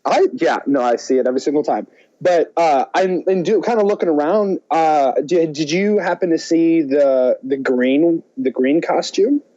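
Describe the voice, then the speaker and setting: A man with a mellow, slightly high voice talks as a laid-back podcast co-host. His chat is casual and slangy, and the recording sounds a bit dull and muffled. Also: normal speed.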